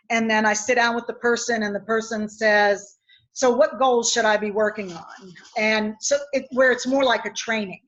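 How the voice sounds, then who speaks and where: A woman's voice: on the high side, a bit bright. A woman from West Virginia, an executive coach speaking in a webinar, explaining her points earnestly through anecdotes. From West Virginia, USA. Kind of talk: webinar discussion. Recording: dull lo-fi webinar audio.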